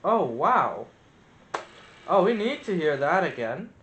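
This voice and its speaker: A man's voice: fairly high pitch, very animated, takes it slowly. A man presenting a casual hands-on experiment, thinking aloud as he demonstrates. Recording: roomy echo, noticeable background noise.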